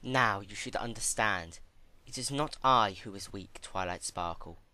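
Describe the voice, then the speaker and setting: A man voicing a regal, cold, manipulative female alicorn pony ruler in a dramatic story reading, measured and condescending. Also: lowish voice, sharp tone. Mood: calm reproach.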